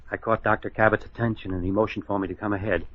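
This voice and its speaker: A man acting a dazed hospital orderly who gives his account haltingly in an old-style radio mystery. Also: fairly low pitch, flat delivery, lightly acted. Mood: anxious, explaining. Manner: halting, hesitant answers. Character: dazed male hospital orderly telling a false story. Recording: dull lo-fi old radio recording.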